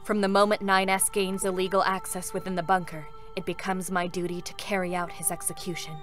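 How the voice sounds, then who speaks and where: Stoic female android executioner played by a woman, lowish voice, solemn and sorrowful restraint, music underneath. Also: level tone, fairly quick, natural voice. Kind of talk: dramatic scripted monologue reading.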